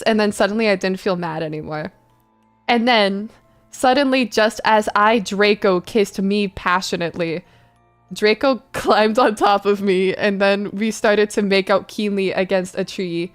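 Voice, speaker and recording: Female streamer for comic fan fiction reading, unhurried, giggly, prone to stumbles, faint music underneath. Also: medium pitch. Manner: giggly, stumbles over the text. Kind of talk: comedic dramatic reading with casual asides.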